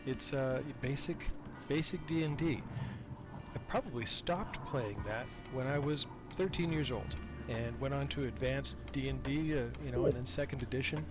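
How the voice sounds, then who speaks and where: A man's voice: medium pitch, normal speed, over music. An older man chatting casually as a podcast guest, talkative and self-deprecating. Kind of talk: casual interview chat.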